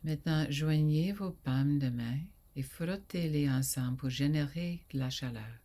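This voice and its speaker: Slow, soft-spoken woman guiding meditation. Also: low voice. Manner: soft, soothing, often breathy near whisper, with long pauses. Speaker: yoga nidra guide. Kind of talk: guided sleep meditation.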